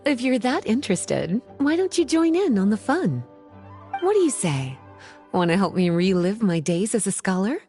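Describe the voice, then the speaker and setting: A woman playing a playful, teasing scholarly librarian witch in a video game, polished and unhurried. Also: mid-range voice, big pitch swings, warm tone, soft background music. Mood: playful, inviting. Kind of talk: video game voice acting, scripted dialogue.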